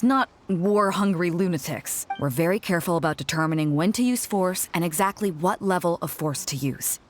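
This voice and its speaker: A bold pirate fleet captain from a video game, played by a woman, speaks with assured command and a teasing edge. Her pitch swings widely as she talks, and soft music plays behind her. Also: lightly acted. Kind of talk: video game character acting.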